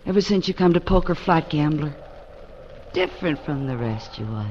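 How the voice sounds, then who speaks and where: A woman's voice: deep voice, lively intonation, moderate pace, a little mellow. Tipsy, flirtatious frontier saloon woman. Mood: wistful. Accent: American. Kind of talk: old radio western acting. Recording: muffled old radio recording, faint hiss.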